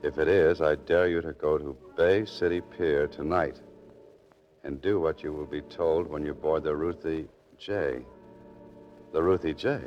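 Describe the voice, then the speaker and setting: A cool, kindly writer-sleuth in a 1940s radio drama. His voice is extremely deep, his delivery wry and understated. The recording is muffled, like old radio. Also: steady tone, a little slow, soft background music. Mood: wry, musing.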